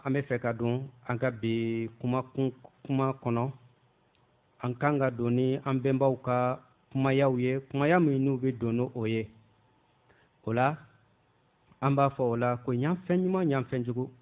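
A man hosting a radio show, greeting listeners and giving a measured, formal invocation. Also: medium pitch. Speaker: radio host. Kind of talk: radio show intro and blessing. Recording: phone-like lo-fi radio sound.

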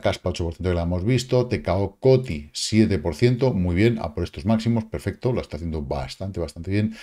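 A man, casually commenting on crypto charts in a lively, slangy way, with exclamations and sound effects, recorded at a home desk. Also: deep voice. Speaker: crypto market analyst. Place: home studio, indoors. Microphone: webcam framing, seated at desk.

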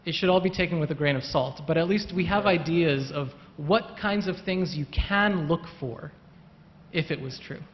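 A man on a lecture hall panel talks through a science question, hedging and restarting as he explains. His voice sits a bit high. The recording is dull and muffled, with a long hall reverb around him. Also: normal speed. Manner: explanatory, hedging with "kind of" and repeated words. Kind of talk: panel discussion answer.

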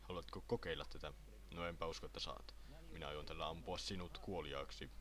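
A man voicing a boastful, menacing muscular hunter in an amateur anime fan dub, rambling in a deadpan way. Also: a bass voice.

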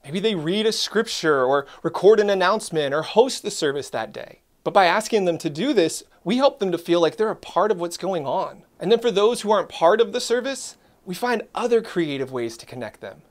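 A man in his thirties, earnest and animated, giving a scripted talk to camera about church ministry, recorded in a studio. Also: high-pitched, fairly quick, few pauses.

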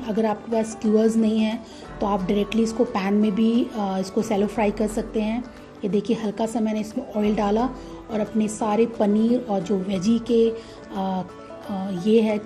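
A woman giving calm, step-by-step cooking instructions as a voice-over, with frequent "uh" fillers. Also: mid-range voice. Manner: calm, instructive, many "uh" fillers, mixes English words into Hindi. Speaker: cooking channel host. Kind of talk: recipe voice-over while cooking.

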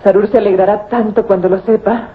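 An actress playing a professor's eager, outspoken daughter, with an emphatic delivery in an old radio drama. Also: female voice, medium pitch, steady tone, fairly quick, lightly acted. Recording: tinny, noisy old radio recording.

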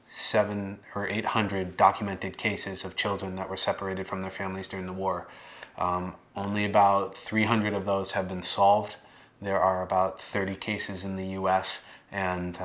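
A young American man in his late twenties speaks in a low voice with very little melodic movement. He tells his own story to camera in a plain, measured manner, pausing and feeling for phrases as he goes. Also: fairly bright tone. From Boston area, United States. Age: late 20s. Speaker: documentary subject telling his life story. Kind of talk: personal testimony to camera. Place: living room, indoors.

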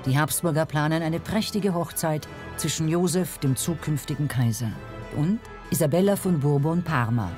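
A woman's voice: deep voice, moderate pace, a little mellow. Woman narrating a documentary over music. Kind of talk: scripted narration.

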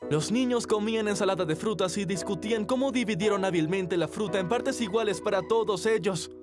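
A man's voice: high voice, a little fast, close to an everyday voice. A man narrating a children's cartoon like a scripted storybook. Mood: storytelling. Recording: loud music behind the voice.

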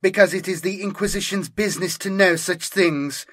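A man's voice: on the high side, lively intonation, unhurried, fairly bright tone, pitched above the performer's own voice. Picture a stern, imperious woman inquisitor in a grim sci-fi audiobook, as a male narrator puts her on. She is curt and cold, and she snaps out her orders and explanations. Her voice rises above the narration and carries more force. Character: cold, commanding female inquisitor with augmetic eye. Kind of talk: audiobook character voice.

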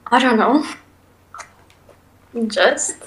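Teen girl from Lithuania, high voice, slow and shy, faint noise. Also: expressive, rough recording. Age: teens. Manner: short, shy answers. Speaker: video chat guest. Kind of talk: casual chat. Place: dim room with red light.

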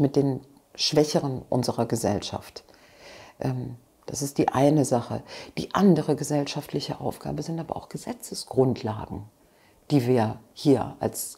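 Woman in her forties, interview guest: low, gravelly voice, frank and passionate, restarts mid-sentence, mellow husky tone. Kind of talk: earnest interview answers. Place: living room with bookshelves, seated on sofa.

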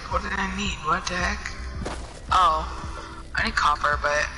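A teenage girl chatting while she plays, often puzzled and distracted, with a run of quick exclamations. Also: fairly low pitch, poor audio. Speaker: gamer on voice chat. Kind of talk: casual game chat. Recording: game music playing clearly behind. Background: game music.

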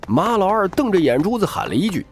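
Man narrating with lively pitch. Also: fast talker. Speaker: audiobook narrator. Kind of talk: dramatic scripted narration of a crime novel. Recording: music bed behind the voice.

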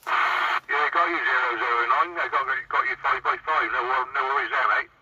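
A man's voice: mid-range voice, steady tone, normal speed, distant mic, lo-fi sound. A man making casual contact chatter as a CB radio operator, heard over a radio transmission. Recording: very noisy CB radio reception.

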